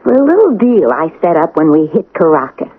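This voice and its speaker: A woman's voice: fairly low pitch, big pitch swings, fairly quick, husky, narrow, tinny audio. A woman acting a cool-headed, scheming crook in a radio drama, controlling and conspiratorial, on an old broadcast recording. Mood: scheming, coaxing. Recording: band-limited old radio broadcast, some noise.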